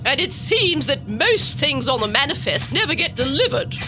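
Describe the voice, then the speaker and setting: Haughty cargo officer: high voice, wildly swooping, scolding and withering, music behind. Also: female voice, very animated, moderate pace. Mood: withering sarcasm. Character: haughty, exasperated female cargo manifest officer. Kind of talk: sci-fi audio drama acting.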